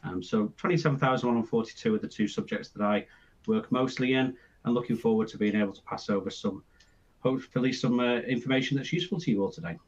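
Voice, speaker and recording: A man working as a standards consultant, introducing himself on a webinar, his voice a little croaky from the flu. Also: medium pitch, steady tone, quick pace, dull audio. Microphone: video call.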